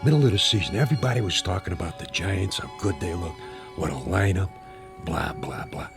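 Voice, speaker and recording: A talkative old former ballplayer in a radio drama. His voice is very low and gravelly, and it swings widely in pitch as he rambles through his story. Music plays underneath. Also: animated, fairly quick. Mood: reminiscing. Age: older.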